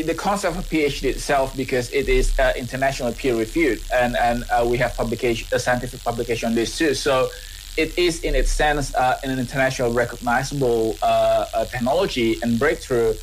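A man's voice: medium pitch, moderate pace, slight background noise, rough recording. A man in his thirties with an Indonesian accent, a scientist answering interview questions earnestly, often repeating and restarting words. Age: 30s. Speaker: scientist and business owner.

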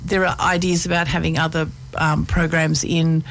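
A woman's voice: sits low, level tone, normal speed, rough recording. An Australian woman, a community centre's education coordinator, talking enthusiastically on a radio interview. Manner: enthusiastic, warm talker. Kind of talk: casual interview chat.

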